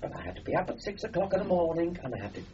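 A man's voice: a little high, sing-song, very fast, mellow, a little hiss or hum. An older man from Austria, a native German speaker, telling a rambling oral-history story as an interviewee, with many fillers and restarts. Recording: dull lo-fi recording.

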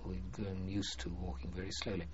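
An older man being interviewed about his past, speaking formally and hesitantly with many pauses and fillers. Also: low-pitched, flat delivery. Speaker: former prisoner of war, interviewee. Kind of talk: oral history interview. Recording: muffled archive recording with faint hiss.

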